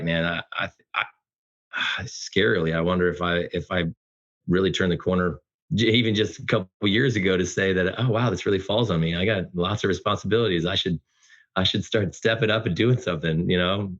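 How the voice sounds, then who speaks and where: A man's voice: low voice, a little fast, a bit bright. A middle-aged man talking casually and earnestly as an effusive podcast guest. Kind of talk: casual heartfelt conversation. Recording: dull lo-fi audio.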